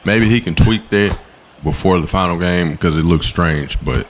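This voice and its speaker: A man, giving laid-back voice-over commentary on his gameplay in a slangy, coaching way. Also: background noise. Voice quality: low voice. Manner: laid-back, coaching, slangy with quick "boom" call-outs. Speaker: gaming channel host. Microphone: voice-over recorded separately from the footage.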